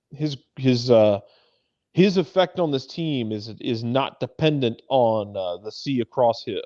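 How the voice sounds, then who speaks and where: Male sports analyst, medium pitch, conversational and emphatic, dry sound with a little lo-fi dullness. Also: warm tone, no echo. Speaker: soccer pundit on a talk show. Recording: slightly dull, lo-fi audio.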